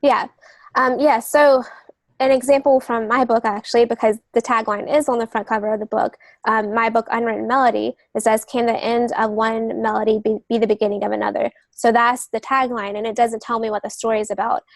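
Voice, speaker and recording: A woman's voice: on the high side, little pitch movement, fairly quick, dry recording. A woman speaking as a guest on a writing podcast, explaining things in conversational interview talk. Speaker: guest novelist and literary agent.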